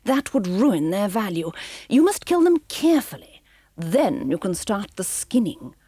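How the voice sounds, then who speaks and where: Woman doing a storybook villainess, shrieking, imperious, gleefully cruel, husky, swooping pitch. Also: very animated, unhurried, mellow, no room sound, clean studio sound. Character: shrill cruel rich woman villain, fur-obsessed.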